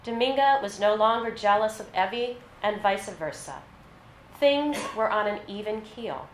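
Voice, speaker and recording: A woman's voice: medium pitch, expressive. Woman reading fiction in an echoey room. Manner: measured, scripted narration with read-out dialogue. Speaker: author reading short fiction aloud. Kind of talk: literary reading at a live launch event. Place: live reading in an echoey venue. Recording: roomy echo, faint background noise.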